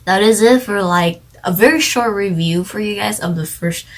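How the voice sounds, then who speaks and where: A woman's voice: mid-range voice, moderate pace. A woman chatting casually as she reviews a drama, rambling and speculating with lots of fillers. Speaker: drama reviewer, channel co-host.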